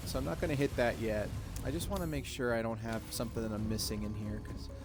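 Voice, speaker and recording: A man in his thirties quietly talking through a game at home at night, half-whispering, relaxed and self-deprecating. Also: medium pitch, fast, over music. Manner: hushed, half-whispered talk. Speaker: gaming streamer. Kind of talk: casual let's-play commentary.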